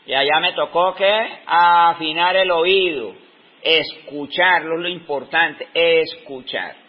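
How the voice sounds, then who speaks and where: Slow, thoughtful Colombian man in his fifties. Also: a little high. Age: 50s. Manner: slow, reflective, pauses often mid-sentence. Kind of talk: unscripted talk. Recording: dull lo-fi recording.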